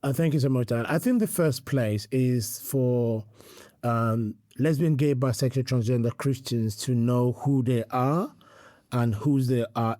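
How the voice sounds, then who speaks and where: A man's voice: mid-range voice, dark tone, no echo, clean studio sound. A middle-aged man from Nigeria, a priest answering interview questions earnestly and quoting scripture. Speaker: priest and guest.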